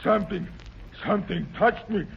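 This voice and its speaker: An actor playing a dim, servile henchman in a vintage radio thriller, fearful, halting and stammering. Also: sits high, vocal fry. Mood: terrified. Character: dim, frightened male henchman, servile to his master. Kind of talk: 1940s radio thriller acting. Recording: dull, noisy vintage radio recording.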